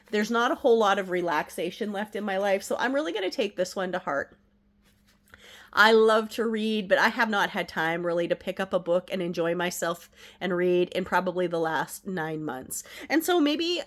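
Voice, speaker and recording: A middle-aged woman with a stuffed-up, nasal voice from a head cold, gently reading oracle cards at home and sharing the messages. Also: mid-range voice. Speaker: oracle card reader, channel host. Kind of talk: casual spiritual card reading. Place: at home, indoors.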